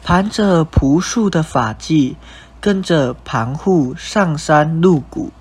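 A man's voice: on the high side, expressive, slow, slightly rough. A young man with a Taiwanese Mandarin accent reading scripted narration for a radio drama. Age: young adult. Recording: music bed behind narration.

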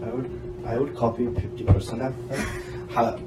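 A man's voice: mid-range voice, level tone, normal speed. A man in his thirties with an Indian accent, a guest giving frank, emphatic advice on a podcast interview. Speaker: guest, doctoral graduate. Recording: echoey room with loud music bed.

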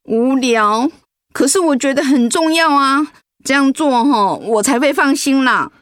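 A woman's voice: high-pitched, a bit bright, no room sound, clean studio sound. An actress playing a sick, easily hurt wife and mother in a radio drama, with a Taiwanese accent and an insistent, whiny, emotive delivery. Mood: insistent, coaxing. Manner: whiny, emotive, with drawn-out sentence particles.

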